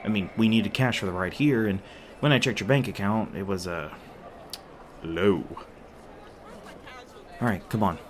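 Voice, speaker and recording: Man playing a low-voiced, casual demon boyfriend. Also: unhurried, pauses often, a little hiss or hum, natural voice. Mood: teasing, casual. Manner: casual, hesitant asides, joking. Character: male demon boyfriend, young for a demon, teasing, casual, sweet. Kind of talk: ASMR roleplay audio, casual and conversational.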